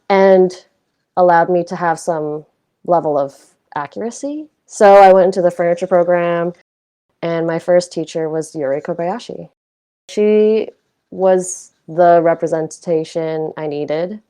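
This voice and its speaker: Reflective young woman, lowish voice. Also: mellow, smooth. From Hong Kong. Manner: reflective, self-deprecating, loose with fillers. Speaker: guest artist. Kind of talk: casual conversational interview.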